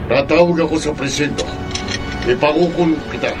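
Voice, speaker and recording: A man's voice: fairly high pitch, brisk, lightly acted. A man playing a stern, threatening old homeowner in a radio drama, commanding and accusing. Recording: distant from the mic, music underneath. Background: music.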